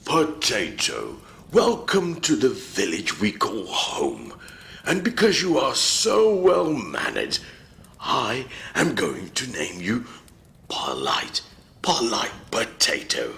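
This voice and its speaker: Brief: kindly old moustached elder, gravelly and unhurried, wide melodic lilt; a man plays him. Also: fairly low pitch, full character voice. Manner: calm, soothing, unhurried. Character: wise old vegetable elder with silver moustache. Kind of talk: storybook character reading.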